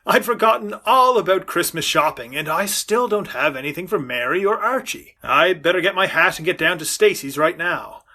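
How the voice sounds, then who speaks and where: A man plays a harried suburban father in a 1940s-style radio comedy. His voice is bright and forceful, and he stammers as his patience wears thin. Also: high-pitched, animated, normal speed, few pauses, heavily acted. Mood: flustered, exasperated. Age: middle-aged. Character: flustered, henpecked suburban father, easily exasperated. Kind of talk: 1940s radio sitcom acting.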